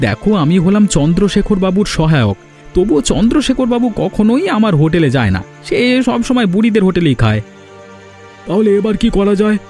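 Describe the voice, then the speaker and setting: High, mellow-toned, spiteful village schemer. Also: male voice, sing-song, brisk. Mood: vengeful. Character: spiteful, scheming village man plotting against rivals. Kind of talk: cartoon fairy-tale dialogue. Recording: music behind the voice.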